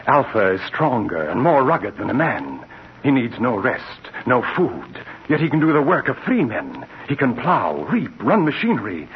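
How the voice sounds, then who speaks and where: An actor playing an earnest, persuasive scientist's assistant in a 1940s radio drama, formal and insistent. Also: male voice, medium pitch, sing-song, moderate pace, lightly acted. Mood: urgent, persuasive. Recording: muffled, noisy 1940s radio recording.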